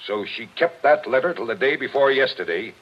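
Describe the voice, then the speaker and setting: A man playing a gruff, relentless police captain with forceful, clipped talk in a 1940s radio drama. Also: mid-range voice, lively intonation, fairly quick, lightly acted. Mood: insistent, explaining. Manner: forceful, insistent, clipped tough-cop talk. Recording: dull vintage 1940s radio recording.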